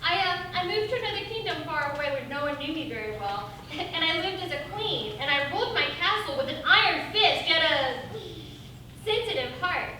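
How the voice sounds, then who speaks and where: A woman's voice: very high-pitched, lively intonation, moderate pace, bright, forceful tone, heavily acted. A woman playing a self-pitying, falsely sweet old witch with a melodramatic, genteel manner in a theatrical comedy. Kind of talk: theatrical stage comedy acting. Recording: distant, very echoey, noisy stage recording.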